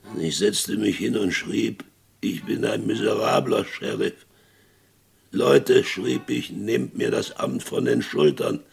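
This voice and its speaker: An actor playing a broken, guilt-ridden, whisky-drinking former sheriff, telling his story slowly and bitterly in a radio play. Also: lowish voice, expressive, heavily acted. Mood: heavy, remorseful. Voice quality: very gravelly. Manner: slow, brooding, bitter.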